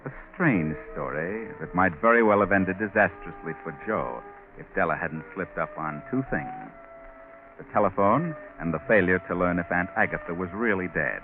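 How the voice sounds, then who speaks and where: An American actor playing a sinister, mocking, all-knowing narrator in a 1940s radio mystery, ominous and moralizing. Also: male voice, sits low, moderate pace. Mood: knowing, scornful. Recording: scratchy narrow-band 1940s radio, music behind.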